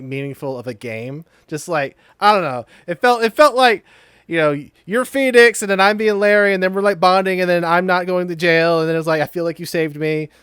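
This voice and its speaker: A man's voice: high voice, fairly bright tone, clear, resonant tone, no echo, clean studio sound. A man chatting casually and playfully as a let's play co-host, rambling as he reacts. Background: game music.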